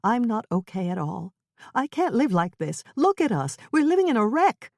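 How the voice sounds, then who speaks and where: A woman voicing a frazzled young mother in an audiobook, shrill and tearful in her outbursts. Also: normal speed, darkish tone, no echo, clean studio sound, close to an everyday voice. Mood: upset, shrill. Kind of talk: audiobook character voice.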